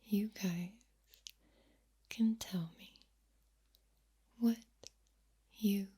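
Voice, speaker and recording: Breathy, whispering woman, extremely slow. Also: medium pitch, fairly even intonation, warm tone. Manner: deliberate, drawn-out delivery with repeated words. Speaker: ASMR host. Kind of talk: soft-spoken ASMR, mostly whispered. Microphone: close, sensitive microphone.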